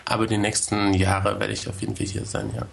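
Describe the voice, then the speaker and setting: A man's voice: on the low side, flat delivery, a little fast, gravelly, fairly bright tone. A man joining a talk show as a guest over a video call, speaking in a hesitant, thoughtful way with many fillers. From Germany. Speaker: guest, tour guide. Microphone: video call from home.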